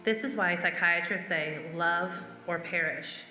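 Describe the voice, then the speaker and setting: Bright, lowish woman reading in echo. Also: a little slow. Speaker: church member reading. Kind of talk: reading quotations aloud. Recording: echoey hall, music underneath. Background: music.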